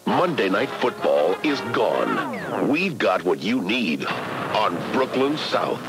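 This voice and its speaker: A man's voice: on the low side, big pitch swings, slow pace, over music. A man reading a punchy TV promo with clipped, mock-referee calls. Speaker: TV promo announcer. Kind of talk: punchy scripted promo read.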